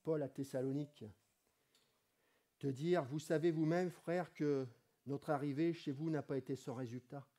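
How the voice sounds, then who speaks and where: A middle-aged man with a cold-hoarse voice preaching in a conversational way from a lectern in a church hall. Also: a little high, fairly even intonation, normal speed, mellow. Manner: conversational preaching, reads scripture with rising emphasis. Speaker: pastor. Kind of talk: sermon. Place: church hall with wooden wall, indoors.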